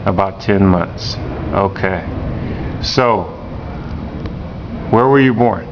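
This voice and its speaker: A man, a teacher, interviewing a student slowly and repeating each answer back. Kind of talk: classroom interview. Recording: dull lo-fi recording with rumble.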